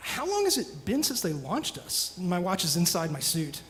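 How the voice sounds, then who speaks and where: A nervous young spaceman acted by a man in a live radio drama, apologetic yet resourceful. His delivery is hesitant and swings widely in pitch. The hall gives long reverb, with faint noise underneath. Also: wildly swooping pitch, moderate pace, a bit bright, full character voice. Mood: awkward, curious. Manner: hesitant, stammering. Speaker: radio theatre actor.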